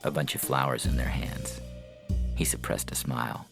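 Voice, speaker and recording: A man's voice: very deep voice, fairly even intonation, moderate pace, creaky. A man reading scripted science fiction story narration, with music playing behind his voice. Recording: music under the voice.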